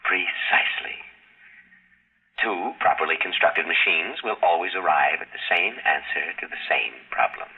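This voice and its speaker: A man's voice: low voice, moderate pace, gravelly, slightly rough, exaggerated voice. A man playing a coolly logical computer in a vintage radio drama, speaking formally and precisely without emotion. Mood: cool, matter-of-fact. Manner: formal, precise, unemotional delivery. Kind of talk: 1950s radio drama acting. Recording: muffled vintage radio broadcast recording.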